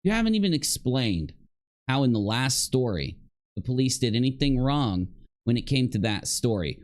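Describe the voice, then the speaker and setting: A man's voice: mid-range voice, very animated, normal speed, darkish tone. A man giving unscripted reaction commentary as a streamer, arguing and accusing as he goes. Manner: argumentative, accusatory. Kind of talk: unscripted reaction commentary over another person's video.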